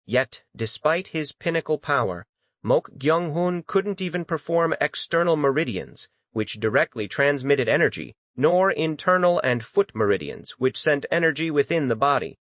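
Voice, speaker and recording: A man's voice: a little high, big pitch swings, moderate pace, a bit bright, no echo. Dry-sounding man narrating dramatic fantasy. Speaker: audiobook narrator.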